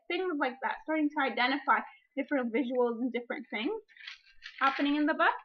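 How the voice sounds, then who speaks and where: High-voiced woman teaching through a read-aloud. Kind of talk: picture-book reading with teaching explanation. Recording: dull lo-fi recording.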